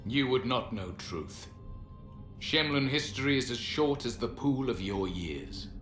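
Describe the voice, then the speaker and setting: An actor playing an ancient, proud elven sentinel who speaks sternly in formal, measured phrases. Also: male voice, mid-range voice, lively intonation, a bit bright. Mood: stern, disdainful. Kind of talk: video game dramatic acting. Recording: echoing hall, music underneath.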